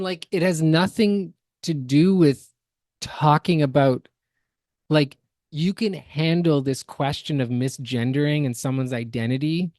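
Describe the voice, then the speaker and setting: Woman podcaster explaining and critiquing stuff, really low voice, dry close sound. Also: darkish tone, no room sound. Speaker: podcast co-host. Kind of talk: casual reaction commentary.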